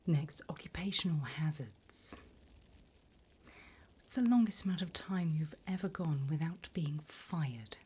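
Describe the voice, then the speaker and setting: A woman playing a wickedly amused, businesslike female demon interviewer in a breathy, whispered ASMR roleplay. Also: low voice, animated, unhurried, a little mellow, exaggerated voice. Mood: businesslike, teasing.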